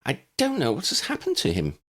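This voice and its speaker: A man's voice: medium pitch, huge pitch swings, fairly quick, a bit bright, pitched above the performer's own voice. A man voicing an anxious, faltering elderly neighbour woman in an audiobook, fretful and polite. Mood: worried. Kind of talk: audiobook character voice.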